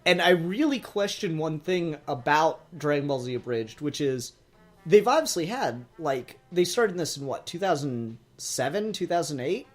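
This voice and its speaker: A man's voice: a little high, animated, moderate pace. A man co-hosting a podcast, giving opinionated, emphatic takes with plenty of swearing in a casual fan discussion. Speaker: podcast co-host.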